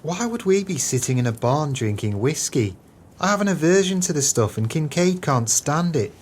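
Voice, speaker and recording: A man's voice: on the high side, animated, moderate pace. A man playing a haughty, easily exasperated crooked businessman in an audio play, speaking in a pompous, florid way. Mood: haughty disdain.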